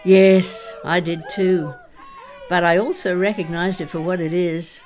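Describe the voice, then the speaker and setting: Woman playing a low-voiced, forthright old grandmother. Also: fairly even intonation, unhurried, a little mellow, soft background music, close to an everyday voice. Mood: candid, amused. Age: older. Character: candid, sociable old grandmother, worldly-wise heroine. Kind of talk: conversational audio drama.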